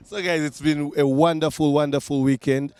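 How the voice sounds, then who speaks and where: A man's voice: fairly high pitch, darkish tone. A man from Uganda hosting a casual podcast panel and wrapping it up in an easygoing, chatty way. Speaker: podcast host.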